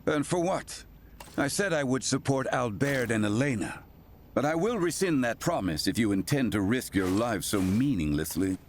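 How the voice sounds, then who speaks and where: An actor playing a gruff, haughty older male sage in a video game, stern and archaic in his phrasing. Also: medium pitch, lively intonation, normal speed, a little hiss or hum, close to an everyday voice. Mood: scornful.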